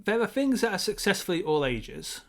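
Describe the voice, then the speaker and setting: A man's voice: high voice, sing-song, fairly quick, fairly bright tone. A man co-hosting a casual film discussion, speaking in an analytical, emphatic way and wandering off into side points. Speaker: podcast co-host.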